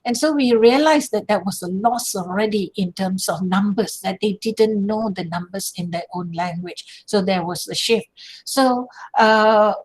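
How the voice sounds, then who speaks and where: A woman's voice: medium pitch, darkish tone. An older woman from Malaysia giving an online lecture, answering questions with examples. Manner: explanatory, uses examples and gestures. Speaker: guest lecturer. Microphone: video call.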